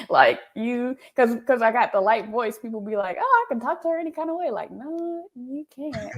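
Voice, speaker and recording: A woman hosting an interview, chatty and relaxed, laughing often and using casual slang. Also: fairly high pitch, unhurried. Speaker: host and interviewer.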